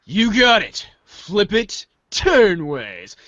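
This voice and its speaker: Animated, playful reader, slightly muffled. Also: medium pitch, very slow, no room sound. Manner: playful, theatrical page-change calls. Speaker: podcast host and reader. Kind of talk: dramatic group reading of a webcomic script.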